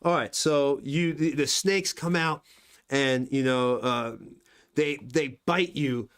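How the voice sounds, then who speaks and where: A man's voice: mid-range voice, slow pace, a bit bright, clean tone. A man acting as game master, narrating combat and ruling on dice rolls in loose, chatty talk full of "you know". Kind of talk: running a tabletop game, casual narration.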